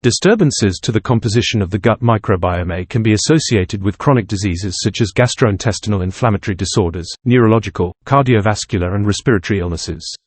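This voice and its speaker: A man with a fairly low voice reads formal academic text from a script, as a practice passage for learners. The recording is dry, with little room sound. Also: quick pace, hardly any pauses, dry recording. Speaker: reader of test practice passages.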